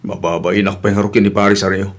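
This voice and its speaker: A man with an Ilocano accent acting in an audio drama as a hurt, weary but resolute husband and father. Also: low voice. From Philippines.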